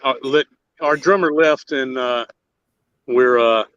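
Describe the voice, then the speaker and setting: A man with a mid-pitched voice talks casually, in a terse and opinionated manner. He is blunt and plain-spoken. The recording is dry and somewhat dull. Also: slow pace, a bit bright, smooth, no echo. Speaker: band member joining the talk. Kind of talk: casual chat.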